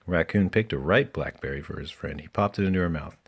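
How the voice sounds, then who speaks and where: A man reading a children's story aloud in an animated storytelling style. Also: very deep voice, a little fast, breathy edge. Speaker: children's book reader.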